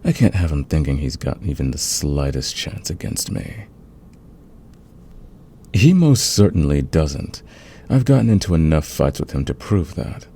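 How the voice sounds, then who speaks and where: Man acting a very deep, flirty fantasy villain. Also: big pitch swings, warm tone, slight background noise, full character voice. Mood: cocky. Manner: teasing, intimate, drawn-out confiding delivery. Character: flirtatious, smug male fantasy villain, secretly smitten rival. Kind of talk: romantic ASMR roleplay acting.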